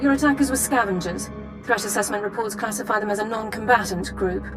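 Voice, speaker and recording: A woman plays a rigid, regulation-minded army sergeant with a neutral mid-range voice, reporting in crisp, clipped, formal sentences. Music plays clearly behind her in this game cutscene. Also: medium pitch, quick pace, close to an everyday voice. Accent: Imperial-sounding. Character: strict by-the-book female army sergeant. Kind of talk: video game cutscene voice acting. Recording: game audio with music bed.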